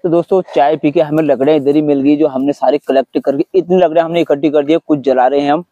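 A man's voice: a little high, steady tone, quick pace, mellow, dry recording, muffled recording. A man, talking casually to camera as a camping vlogger outdoors. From India. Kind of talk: casual vlog talk to camera. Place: outdoors in a forest by a stream.

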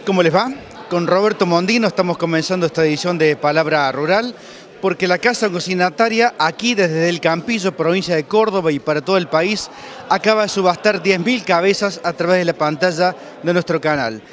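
A middle-aged man with an Argentine accent, presenting and interviewing as an agricultural TV journalist in measured, formal phrases. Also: high-pitched, fairly bright tone. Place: large indoor event hall. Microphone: handheld interview microphone.

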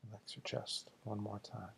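A man leading a guided meditation in slow, deliberate, soothing short phrases, with a husky, gravelly voice. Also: lowish voice, completely flat delivery, a bit bright, a little hiss or hum.

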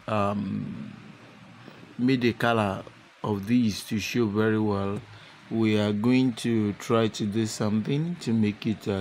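A man narrating a step-by-step software tutorial unscripted, with halting, repetitive instructional phrasing. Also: fairly low pitch, a little hiss or hum. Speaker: tutorial presenter.

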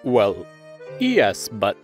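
An earnest young knight from a fantasy game, played by a man, speaks in a somewhat deep voice. His delivery is formal, solemn and chivalrous, full of devotion. Faint music plays underneath. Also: wildly swooping pitch, very slow, full character voice. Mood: tender, devoted. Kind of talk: fan dub of a fantasy game dialogue.

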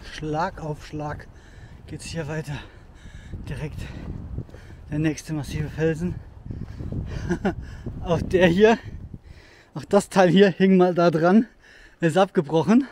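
A man's voice: a little high, slow pace, long pauses, background noise. A man in his thirties talking casually while hiking through a rocky forest, reviewing outdoor gear with lots of fillers and enthusiasm. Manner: chatty and enthusiastic, with frequent fillers.

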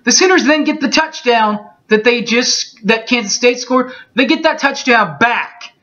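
A man in his thirties reading through postgame football stats from his bedroom, steady and methodical, with small slips he corrects as he goes. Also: extremely high pitch, measured pace. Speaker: sports fan recapping a game. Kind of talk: webcam postgame stats recap. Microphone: webcam mic. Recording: dull lo-fi webcam audio.

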